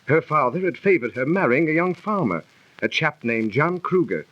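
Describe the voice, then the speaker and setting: An actor playing a gentlemanly, superstitious British army officer telling stories politely in 1930s radio style. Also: male voice, on the high side, big pitch swings, normal speed. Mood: reminiscing. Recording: dull lo-fi 1930s radio recording.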